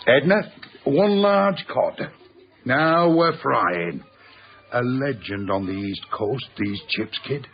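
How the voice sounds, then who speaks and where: An actor playing an earthy, evasive middle-aged Yorkshire chip-shop owner in a radio drama, blunt and teasing. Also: male voice, medium pitch, animated, takes it slowly, dull audio, a little hiss or hum. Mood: matey, blunt.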